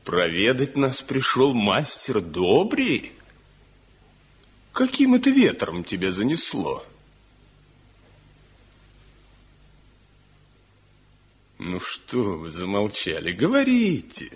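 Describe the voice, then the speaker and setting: A man's voice: lowish voice, wildly swooping pitch, slow pace, slightly rough, exaggerated voice. An actor playing a tormented craftsman in a radio drama, sarcastic and volatile, with theatrical, biting irony. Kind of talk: Soviet radio drama acting. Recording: dull, noisy 1970 radio recording.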